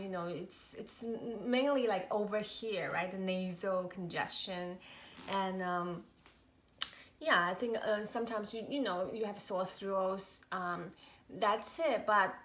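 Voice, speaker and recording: A woman in her thirties, a mother of three, chatting casually to camera in a halting, rambling way, her voice nasal from a cold. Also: medium pitch, fairly bright tone. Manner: rambling, halting, many fillers and restarts. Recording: echoey room.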